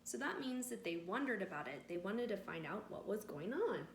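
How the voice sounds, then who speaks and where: A woman reading a picture book aloud in an expressive, deliberate, teacherly way, stressing key words and adding asides for young listeners. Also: medium pitch. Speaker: read-aloud storyteller. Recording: echoey room with faint background noise.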